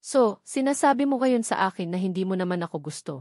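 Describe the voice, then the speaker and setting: A woman's voice: mid-range voice, lively intonation, fast, darkish tone, natural voice. A woman acts out a rich Filipino man in love, serious, possessive and wounded. He speaks intensely, as in a romance audiobook. The recording is dry and without room echo. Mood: angry.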